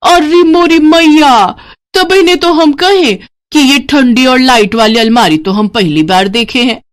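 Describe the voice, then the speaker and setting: A woman plays a naive young village bride in an animated moral tale. Her voice is high and excitable, with a rustic eastern Hindi accent. She exclaims in wide-eyed earnest. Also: a little slow. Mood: puzzled. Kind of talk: animated moral story character voice.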